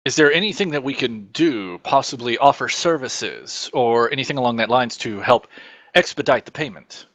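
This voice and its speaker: A man's voice: mid-range voice, moderate pace, fairly bright tone, no room sound. A man taking part in a tabletop game as a player, chatting casually and joking around.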